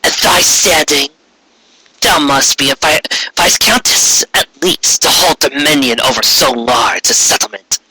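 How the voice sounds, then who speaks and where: A man's voice: on the high side, a little slow, bright, forceful tone, slightly rough, full character voice, rough recording. A man voicing a menacing, ancient female alicorn queen who speaks in archaic, formal commands in a fan fiction audiobook. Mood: imperious, questioning.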